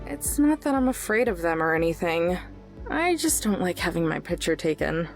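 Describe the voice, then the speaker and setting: A woman's voice: lowish voice, sing-song, moderate pace, faint music behind. A woman voicing a blunt, guarded young woman in a visual novel, with a dry, defensive manner.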